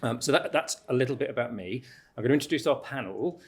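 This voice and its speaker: A man's voice: medium pitch, animated, fast talker. A man facilitating a panel and presenting a report, with many fillers and restarts.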